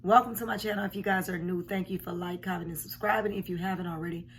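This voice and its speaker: Woman, forties, hoarse home vlogger; frank and emphatic, faint hum under the camera audio. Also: fairly low pitch, steady tone, fast talker. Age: 40s. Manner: frank, emphatic, repeats herself. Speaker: commentary vlogger. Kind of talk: casual reaction commentary. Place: home room indoors. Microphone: camera at desk distance.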